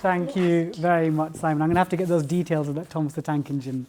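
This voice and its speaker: A moderator with a middle-pitched voice runs the panel and thanks each speaker. The tone is appreciative and brisk as they hand over to the next guest. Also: fairly even intonation, crowd noise, noisy background.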